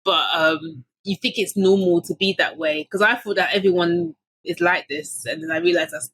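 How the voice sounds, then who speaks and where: A woman's voice: on the low side, steady tone, normal speed, fairly bright tone, slightly rough, dry recording, poor audio. A woman from London hosting a podcast, chatting casually and laughing often between her sentences. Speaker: podcast host. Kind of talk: casual conversation.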